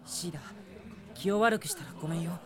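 An actor playing an earnest, gentle young hero prince in a fantasy audio drama. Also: male voice, on the high side, quick pace, crowd noise, close to an everyday voice. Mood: meek, apologetic.

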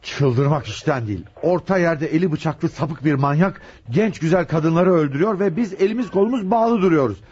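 Commanding police chief, muffled radio recording. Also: male voice, fairly high pitch, expressive, normal speed, few pauses, a little mellow, husky. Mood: exasperated, commanding. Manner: urgent, commanding, repeats short orders. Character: commanding, impatient male police chief inspector on a murder case. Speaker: radio drama actor. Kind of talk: Turkish radio theatre acting.

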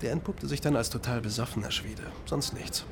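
An actor playing a wry undercover officer posing as a hotel receptionist in a crime radio drama, polite and matter-of-fact. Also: male voice, medium pitch, fairly quick, close to an everyday voice. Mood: wry, reassuring. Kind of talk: naturalistic German crime radio drama acting. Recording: clear background noise.

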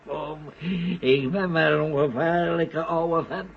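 An actor playing a tipsy, gloating old scientist, lecherous and rambling, in a vintage radio drama. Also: male voice, a little high, takes it slowly, creaky. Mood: coaxing, flirtatious. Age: older. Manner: lecherous, gleeful, rambling, chuckling. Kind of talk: 1970s Dutch radio drama acting. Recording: dull, noisy old radio recording.

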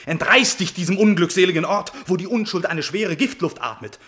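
High-voiced, ardent young prince. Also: male voice, a little fast, fairly bright tone. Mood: urgent pleading. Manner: impassioned declamatory verse delivery. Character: noble, ardent young prince, honourable and lovestruck. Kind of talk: classical German radio tragedy acting.

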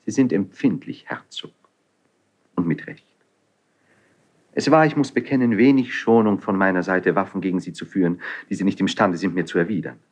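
An actor playing an impetuous, proud young crown prince in a classical stage drama, with theatrical, declamatory delivery. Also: male voice, sits low, normal speed, a little mellow. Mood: mocking, bitter. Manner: theatrical, declamatory, shifting between muted irony and outburst.

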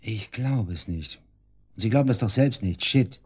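Radio crime drama: cornered suspect, low and mellow-dark, crude slang, scornful, naturalistic. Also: male voice, expressive, a little slow, husky. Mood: agitated, indignant. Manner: sarcastic, crude, defiant, slangy. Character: brash, sneering male murder suspect under police questioning.

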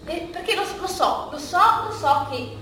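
A high-voiced woman looking back on her life in a stage monologue. She rambles in a halting, confiding, colloquial way, repeating herself as she goes. The sound is very echoey and far from the microphone, with faint noise. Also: fairly bright tone, faint music behind. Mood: hesitant, apologetic. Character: rambling, bittersweet woman reminiscing about her athletic youth. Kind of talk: theatrical monologue, conversational storytelling. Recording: echoey room, distant mic, faint noise.

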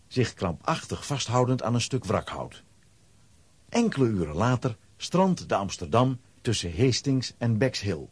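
A man narrating a children's adventure story in a scripted, dramatic style, with a husky voice. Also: lowish voice, a little mellow, dry recording.

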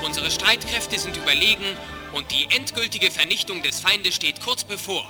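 A man's voice: sits high, normal speed, music in the background, lightly acted. An actor, a man, playing a pompous alien admiral in a radio play, declaiming his words. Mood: pompous, formal. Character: alien reptile fleet admiral, pompous, turning mutinous.

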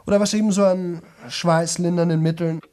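A man's voice: sits high, fairly even intonation, fairly quick, mellow, lightly acted. An actor playing a boastful young man who talks nonstop to an audience in a radio play, chatty, rambling and repetitive. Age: young adult.

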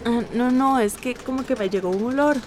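An actress playing a friendly, caring young internet café worker in a radio drama, with a Costa Rican accent. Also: female voice, fairly high pitch, fairly quick, darkish tone, slight background noise, close to an everyday voice. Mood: friendly, flustered. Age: young adult. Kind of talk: naturalistic radio drama acting.

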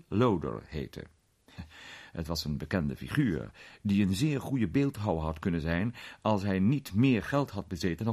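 A genial film star spinning a reminiscence in a radio play. His deep, husky voice moves with plenty of rise and fall. He talks in a conversational, slightly hesitant way, like a raconteur. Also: darkish tone, exaggerated voice. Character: male film actor, ex-athlete, recounting a strange tale genially.

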